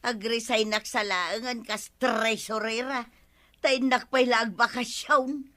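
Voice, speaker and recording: A woman's voice: fairly high pitch, fairly even intonation, slow pace, bright, forceful tone. A woman playing a guilty village treasurer in an Ilocano radio comedy, pleading and making excuses in an Ilocano accent. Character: guilty woman treasurer who gambled funds.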